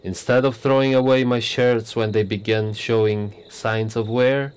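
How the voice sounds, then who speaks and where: A man's voice: mid-range voice, steady tone, a little slow, clean tone, natural voice. An actor playing a blunt, self-satisfied prime minister in his sixties, wry and teasing, in a radio drama. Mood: self-assured. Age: 60s. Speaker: politician character.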